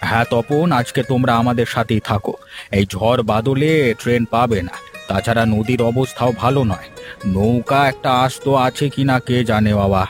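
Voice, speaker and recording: Cartoon village patriarch, older, hospitable and kindly male actor, medium pitch over clear music. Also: moderate pace, close to an everyday voice. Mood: kindly, concerned. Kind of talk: Bengali moral-story cartoon dubbing.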